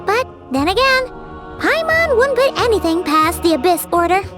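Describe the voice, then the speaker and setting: A tiny floating fairy companion in a game, with a very high, springy voice that leaps all over the place. She chatters about herself in the third person, eager and talkative. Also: very animated, moderate pace, over music. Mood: chatty. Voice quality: very high and bouncy. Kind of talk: video game voice acting.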